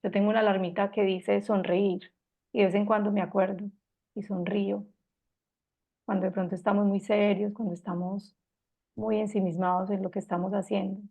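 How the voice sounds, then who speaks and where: A Colombian woman in her fifties with a Medellín accent, gently giving a reflective personal talk with frequent pauses and restarts. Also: mid-range voice, level tone, vocal fry. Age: 50s.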